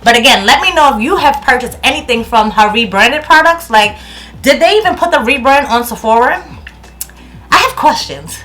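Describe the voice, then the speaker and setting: A chatty woman in her thirties with a New York accent and a voice on the higher side. She talks casually from a room at home, laughs often, and sometimes trails off or restarts while searching for a word. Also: lively intonation, moderate pace, bright, forceful tone, faint music behind. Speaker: beauty vlogger. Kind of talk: casual product review chat.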